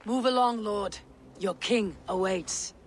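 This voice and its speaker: A woman's voice: medium pitch, takes it slowly, slight background noise, natural voice. An actress playing a tough Viking warrior woman, terse and wry, in a video game cutscene. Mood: brisk.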